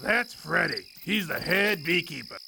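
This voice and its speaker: A man voicing an earnest little male insect in a cartoon game, creaky and pleading, over noisy chirping insects. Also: on the high side, sing-song, unhurried, full character voice. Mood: grave.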